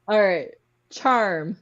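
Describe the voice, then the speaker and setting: A woman hosting a word game in casual group chat. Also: medium pitch. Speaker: host running a word game.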